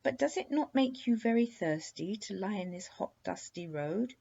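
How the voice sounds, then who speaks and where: Woman as a high, polite little storybook princess. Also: animated, moderate pace, vocal fry, warm tone, husky, lo-fi sound. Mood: polite, sweet.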